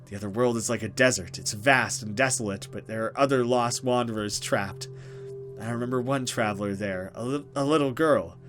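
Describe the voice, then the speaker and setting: A man acting a haunted, halting mysterious woman. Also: mid-range voice, a bit bright, faint music behind, pitched below the performer's own voice, lightly acted. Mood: grave, accusing. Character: mysterious frightened young woman, escaped captive, urgent and uneasy.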